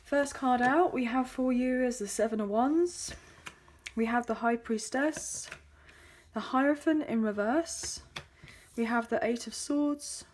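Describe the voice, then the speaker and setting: A woman with a slightly croaky, hoarse voice, giving a chatty tarot reading into a phone, with frequent fillers. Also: a little high, measured pace, slight background noise. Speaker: tarot card reader.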